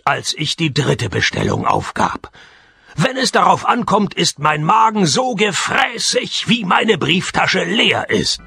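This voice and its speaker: A sardonic private detective, with heavy gravel in a fairly high voice. He delivers noir-parody lines deadpan, yet his pitch moves about in a lively way. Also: dry recording, exaggerated voice. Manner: deadpan, dry. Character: sardonic, down-at-heel, gluttonous male private detective. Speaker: lead actor and first-person narrator. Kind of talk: radio play, noir-parody narration and dialogue.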